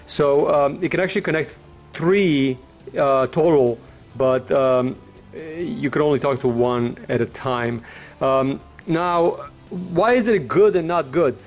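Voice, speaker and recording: A man's voice: fairly high pitch, takes it slowly, soft background music. A man giving a casual, unscripted product review in an informal, blunt, rambling way, like a vlogger.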